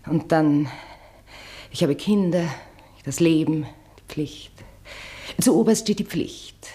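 An actress playing a nervous, self-righteous married woman with a halting, clipped delivery in a 1960s German radio drama. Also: low voice, dark tone. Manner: halting, clipped, dropping into breathy asides.

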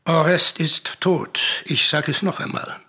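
An actor playing an older messenger with a raspy voice, solemnly declaiming grave news in a classical tragedy radio play. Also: male voice, a little high, unhurried, sharp tone, no room sound, clean studio sound, heavily acted. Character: old male messenger stranger bringing grave news, solemn.

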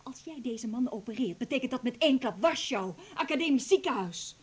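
A high-voiced, domineering woman from a radio drama. She is ambitious and imperious, nagging as she presses her demands. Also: lively intonation, fairly quick, slightly rough. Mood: urging. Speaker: actor in a radio play. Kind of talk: Dutch radio drama acting.

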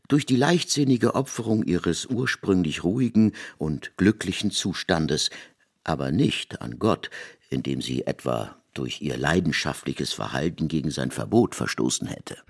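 A man narrating literary prose in a measured, expressive storytelling manner. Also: a bass voice. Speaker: narrator of a literary radio adaptation.

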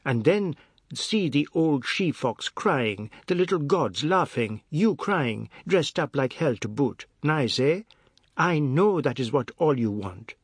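A man reading a novel aloud, voicing a touchy, frightened man lying sick in his room, in a quick, high-pitched, mocking way. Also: expressive, vocal fry, fairly bright tone, breathy edge, no room sound, clean studio sound, exaggerated voice. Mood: bitter.

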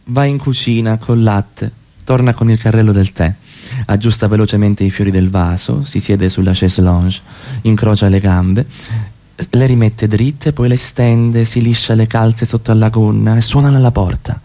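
A man's voice: on the low side, steady tone, normal speed, warm tone. A man narrating a radio play, reading scripted stage directions.

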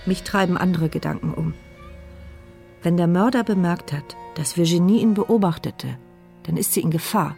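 A woman's voice: on the low side, moderate pace, vocal fry, mellow, faint music behind. An actress playing a paralysed, mute woman who narrates her sardonic, bitter thoughts in a radio play. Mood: anguished. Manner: sardonic, biting inner voice. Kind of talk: radio play inner monologue.

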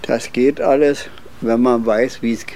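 A man's voice: medium pitch, steady tone, a little slow, warm tone. Laconic older man, plain-spoken tradesman. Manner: matter-of-fact, laconic. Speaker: office machine mechanic being interviewed. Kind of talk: casual, plain-spoken chat.

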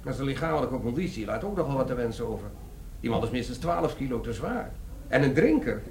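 An officious middle-aged manager with an animated, swooping delivery. He is formal and condescending, in an echoey radio-drama room. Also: mid-range voice, fast, vocal fry. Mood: dismissive. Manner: formal, condescending, dryly polite. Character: officious, dry, sceptical middle-aged male company boss. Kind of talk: comic crime radio play acting. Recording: echoey room, faintly noisy radio recording.

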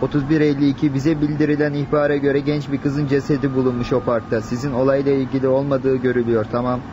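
A man's voice: mid-range voice, little pitch movement, fast. A male actor playing an impersonal police dispatcher in a radio play, reporting in a clipped official manner over a police radio. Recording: very noisy, muffled radio drama recording.

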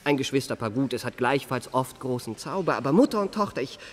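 An actor playing an eloquent, flattering young gentleman with florid, courteous manners in a radio play. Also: medium pitch, fast talker, natural voice. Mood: ardent, courteous. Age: young adult. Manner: florid, courteous, elaborately polite.

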